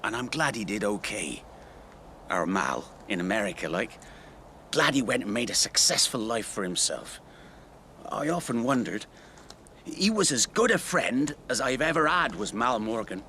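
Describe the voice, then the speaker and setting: A man's voice: medium pitch, very animated, normal speed, bright, forceful tone, a little hiss or hum. An actor playing a wistful middle-aged British working man, a folksy rambling storyteller in a naturalistic radio drama. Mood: gentle, nostalgic. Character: wistful middle-aged local factory worker, old family friend.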